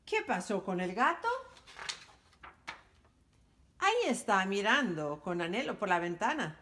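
A woman reading a children's picture book aloud for library storytime, in an animated, sing-song storytelling manner. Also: mid-range voice, normal speed, a little hiss or hum.

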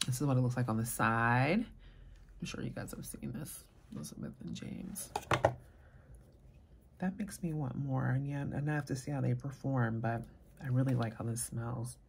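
A soft-spoken woman chatting casually as a vlogger, murmuring her thoughts quietly as she talks. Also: extremely low pitch, moderate pace, creaky, noisy background. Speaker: fragrance vlogger. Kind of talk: casual chat.

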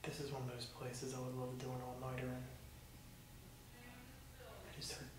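A man's voice: mid-range voice, completely flat delivery, moderate pace. A man in his thirties, a paranormal investigator, chatting casually in a small room. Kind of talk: casual chat. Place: small dark room indoors. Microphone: camera mic across the room. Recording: very echoey room, clear noise.

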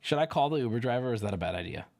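A man hosting a livestream, chatting casually and teasing, with loud joking outbursts. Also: mid-range voice, expressive, very fast, vocal fry. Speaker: livestream host. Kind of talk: casual stream chat.

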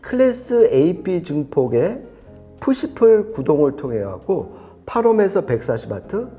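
Guy reviewing hifi gear, mellow voice but really animated pitch, chatty and explaining tech stuff. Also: measured pace, faint music behind. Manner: explanatory, emphatic, conversational. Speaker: audio equipment reviewer explaining specs. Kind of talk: unscripted technical review talk.

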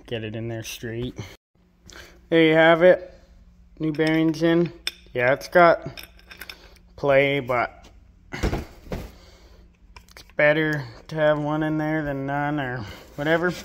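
A man's voice: on the high side, slow, long pauses, smooth. A young man vlogging from his farm workshop, narrating casually in a laid-back, rambling way with plenty of fillers. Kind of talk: casual narration while working.

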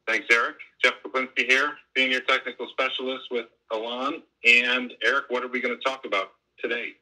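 A man's voice: medium pitch, fairly even intonation, brisk, pushed and bright, low-quality recording. A man in his forties explaining technical features conversationally over a video call. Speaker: technical specialist giving a demonstration. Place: home room, indoors, on a video call. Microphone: wired earbuds on a laptop video call.

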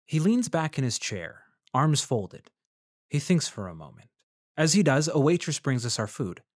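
A man playing a measured, curious journalist narrator. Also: a little high, big pitch swings, dry recording, clean studio sound. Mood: measured narration. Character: male freelance journalist narrating his visit, curious, polite. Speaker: narrator and interviewer. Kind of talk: scripted first-person audio drama narration.

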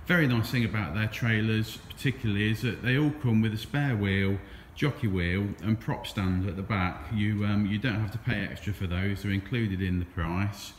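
A man who sells trailers, walking through a warehouse and filming with his phone as he talks casually, with frequent ums. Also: on the low side. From England. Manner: chatty, relaxed, with frequent ums. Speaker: trailer dealer. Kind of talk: walkaround product video. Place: large trailer warehouse, indoors. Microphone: handheld phone camera, filming while walking. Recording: echoey warehouse with faint hum.

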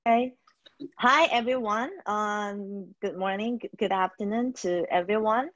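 A woman's voice: mid-range voice, measured pace, fairly bright tone, no room sound. A woman from Taiwan presenting in a webinar over a video call, hesitant, with many fillers and restarts. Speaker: webinar speaker, HR trainer. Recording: dull, dry video call audio.

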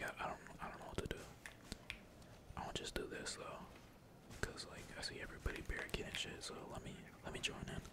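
A gamer speaks entirely in a breathy whisper, giving casual ASMR commentary on their play. The talk is slangy and peppered with swearing, while computer keys clack behind it. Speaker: gaming video creator. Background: computer keyboard typing.

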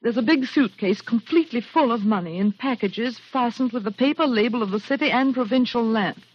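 An anxious young woman in a 1940s radio thriller, urgent and determined. Her voice is husky and she trips over words. The recording is dull and old. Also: a little high, fairly quick, no room sound. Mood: frightened insistence. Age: young adult. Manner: breathless, stammering, hushed urgency.

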